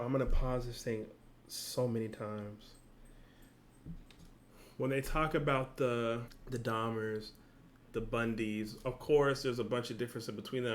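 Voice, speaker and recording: A man hosting a reaction video, talking in a relaxed, thinking-aloud way and swearing now and then. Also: mid-range voice, slight background noise. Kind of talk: casual reaction commentary.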